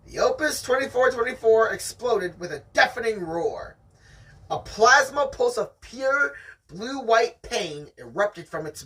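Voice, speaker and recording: Amateur story reader with animated pitch and a forceful tone, casual chat between passages, sounds slightly off-mic. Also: husky, distant mic, low-quality recording. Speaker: host reading a novel aloud.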